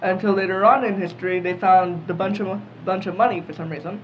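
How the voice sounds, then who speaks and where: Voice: medium pitch, fairly even intonation, fast talker, soft background music. A young podcast panelist talking casually in a group discussion. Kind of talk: casual chat. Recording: muffled audio with faint room noise.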